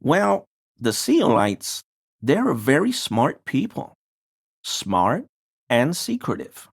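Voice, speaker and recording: Wheedling conspiracy nerd for a video game, slow talker, voice goes up and down a lot, super deferential. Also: male voice, medium pitch, huge pitch swings, gravelly, slightly rough, heavily acted. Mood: insinuating, flattering. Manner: deferential, wheedling, pseudo-scholarly. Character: obsequious, insinuating male conspiracy-minded amateur researcher. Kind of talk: video game character dialogue.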